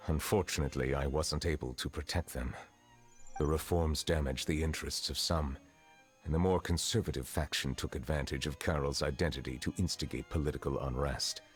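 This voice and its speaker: An actor playing a reserved, centuries-old chief justice in a fantasy video game, speaking in measured, formal phrases. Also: male voice, rumbling low, steady tone, soft background music. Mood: solemn, reflective. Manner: measured, formal, stately diction.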